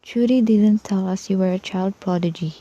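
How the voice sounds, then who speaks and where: A woman's voice: medium pitch, normal speed, mellow, dry recording, close to an everyday voice. A woman playing a dry-witted student sister in an amateur radio play. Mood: impressed. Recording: dull lo-fi dry recording.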